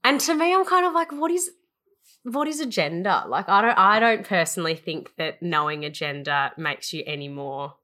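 Aussie woman chatting on her podcast, low pitched and bright, rambles a lot. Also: expressive, moderate pace. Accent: Australian. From Australia. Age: 20s. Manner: chatty, rambling, laughs easily. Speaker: podcast co-host. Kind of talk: casual chat.

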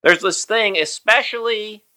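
A man hosting a podcast, chatting easily with chuckles and reading a poem of his own slowly. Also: high-pitched, sharp tone. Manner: easygoing, chuckling, reads poem slowly and deliberately. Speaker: podcast host. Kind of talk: casual podcast chat and poetry reading.

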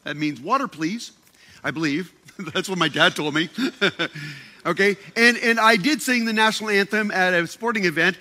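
A man's voice: high voice, normal speed, pushed and bright. A man speaking to a group in a casual, sermon-like talk. He is playful and joking, holding a handheld mic and often repeating and restarting his words. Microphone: handheld microphone.

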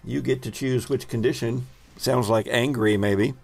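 A man narrating a tabletop roleplaying game as game master, in a halting, deliberate storytelling manner. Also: medium pitch, fairly even intonation, creaky, darkish tone, breathy edge, no echo.

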